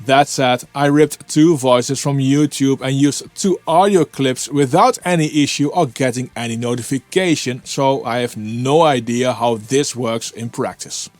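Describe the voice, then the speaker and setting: A man's voice: mid-range voice, unhurried, few pauses, dry recording. A man presenting a product review as a scripted, explanatory narration. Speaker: host of an audio plugin review.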